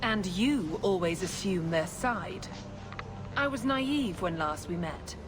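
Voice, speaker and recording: A woman voicing a haughty, scheming senior cleric in a fantasy video game, speaking formally and with measured condescension. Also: lowish voice, animated, background noise. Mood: condescending. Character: haughty, scheming high-ranking female cleric.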